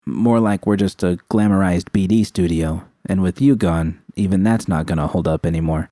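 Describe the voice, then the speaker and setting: Guy playing a worried tough gang woman, mellow low voice, slangy n conspiratorial, audiobook dialogue. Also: normal speed, no echo, clean studio sound, lightly acted. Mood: grave, confiding.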